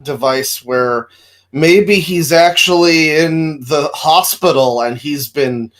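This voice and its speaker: A man's voice: on the high side, takes it slowly, a bit bright. A man casually discussing films with friends, sweary and often circling hesitantly toward his point. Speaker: podcast co-host.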